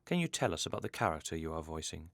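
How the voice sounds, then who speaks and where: A man's voice: a bass voice, big pitch swings, quick pace, fairly bright tone, no room sound, studio quality. A man hosting an interview series, reading formal, scripted introductions and questions.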